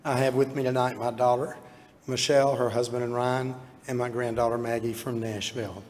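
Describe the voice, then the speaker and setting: An older man in his sixties, hoarse from illness, speaks at a podium and mixes gratitude with small self-deprecating laughs. His voice rings out with a long reverb through a big hall, and faint room noise sits behind it. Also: mid-range voice, flat delivery, fairly quick. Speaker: award honoree giving an acceptance speech. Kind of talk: acceptance speech. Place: large banquet hall at an awards event.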